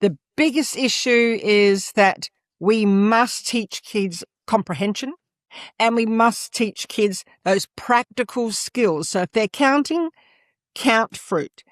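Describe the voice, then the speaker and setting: A woman's voice: on the high side, slow pace, no room sound, studio quality. An older Australian woman, a former school principal, talking emphatically as a guest in an interview. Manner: emphatic, leans on "absolutely" and "et cetera". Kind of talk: interview conversation.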